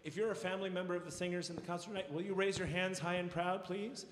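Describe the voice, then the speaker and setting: A man's voice: sits high, steady tone, fast. Middle-aged male choir director with a cold, informal and encouraging, handheld mic in a big reverberant hall, faint room noise. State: has a cold, voice a little worn. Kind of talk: concert announcements to an audience. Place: large recital hall stage. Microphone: handheld mic heard from a far camera. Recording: echoey hall from far off.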